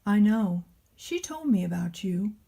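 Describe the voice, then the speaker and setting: A gentle old-world craftsman from a children's storybook, voiced by a woman. The delivery is slow, tender and thoughtful, with a mellow, breathy tone that reassures. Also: mid-range voice, animated, heavily acted, low-quality recording. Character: large bearded craftsman, gentle, loving, reassuring maker.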